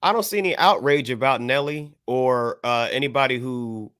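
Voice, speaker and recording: An American man co-hosting a podcast, discussing things casually in a measured, careful, hedging way. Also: medium pitch, a bit bright, smooth, no room sound, studio quality. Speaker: podcast co-host.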